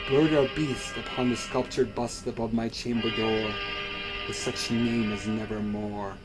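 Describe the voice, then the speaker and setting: A young man giving a theatrical, brooding recitation of gothic horror poetry, moving between hushed and loud delivery. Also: on the low side, fairly even intonation, measured pace, over loud music, poor audio. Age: young adult. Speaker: performer reciting a poem. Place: furnished basement living room.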